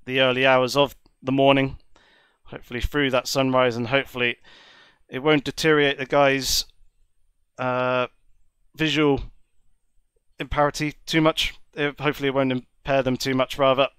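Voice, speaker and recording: A man commentating a sim racing broadcast, speaking in a measured, polite and wordy way while nursing a cold that leaves him a little congested. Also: mid-range voice, little pitch movement, a bit bright, clean tone, dry recording. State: has a cold, slightly congested. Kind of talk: live esports race commentary.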